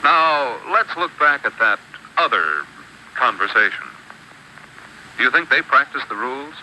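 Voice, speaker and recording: Bright, lively male educational narrator. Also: mid-range voice, slight background noise, rough recording. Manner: measured, instructive, lists rules one by one. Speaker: narrator of an instructional film. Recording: old archival film soundtrack.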